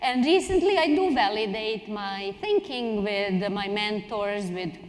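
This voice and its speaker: A woman's voice: mid-range voice, lively intonation, a little slow. A woman from Serbia speaking casually and emphatically as a panelist, often restarting her thoughts. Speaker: panelist, strategy director. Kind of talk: casual spontaneous panel talk. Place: indoor conference hall on stage. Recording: echoey hall, long reverb.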